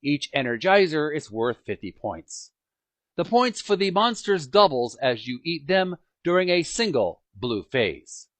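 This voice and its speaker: A man narrates from a book in an emphatic, dramatic way, breaking lines with pauses. His fairly high voice swings widely in pitch. The recording is dry and close, with music playing behind him. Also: sing-song, no room sound. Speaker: host reading a book aloud. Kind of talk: scripted book narration, amateur read-aloud.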